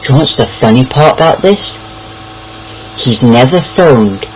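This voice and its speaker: A man's voice: medium pitch, expressive, unhurried, a little hiss or hum, low-quality recording. A young British man casually telling anecdotes in a rambling, hesitant way, repeating words as he goes. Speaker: online storyteller.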